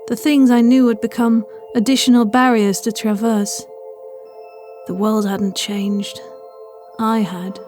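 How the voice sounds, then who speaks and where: A woman with a fairly high voice reads first-person horror fiction as a story narrator. Music runs underneath her scripted narration. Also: steady tone, slow, darkish tone.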